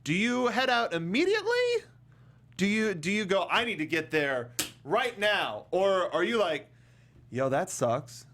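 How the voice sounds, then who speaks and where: A man hosting a casual panel discussion, talking in a joking, rambling and animated way. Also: high voice, unhurried, a little hiss or hum. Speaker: podcast host.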